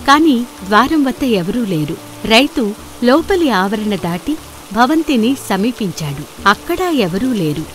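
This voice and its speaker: A woman's voice: fairly high pitch, very animated, a little slow, a little hiss or hum. A woman narrating a scripted folktale as a storyteller. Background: background music.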